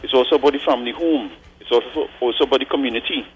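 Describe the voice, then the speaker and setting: A man in his forties calling in to a radio show, thinking aloud earnestly with frequent pauses and tag questions like "right?". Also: mid-range voice, muffled recording, a little hiss or hum. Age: 40s. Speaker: caller. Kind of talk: call-in radio commentary.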